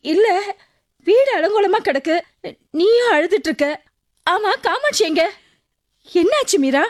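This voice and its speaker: A woman's voice: very high-pitched, big pitch swings, unhurried, heavily acted. A woman acting a middle-aged neighbour who fusses and calls out in alarm. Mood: worried. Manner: fussing, alarmed calling out. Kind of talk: radio-style drama acting.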